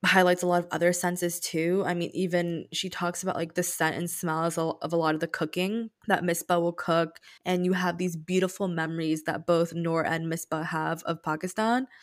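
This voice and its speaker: A woman's voice: fairly low pitch, little pitch movement, moderate pace, hardly any pauses. A woman co-hosting a book podcast, discussing in a casual, reflective and appreciative way.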